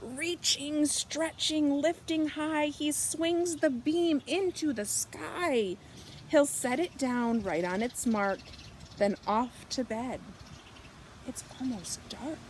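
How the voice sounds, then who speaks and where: Unhurried woman reading a children's storybook. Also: high voice, slight background noise. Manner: slow, animated delivery with drawn-out words and hushed, whispered good nights. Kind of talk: expressive picture-book read-aloud for young children.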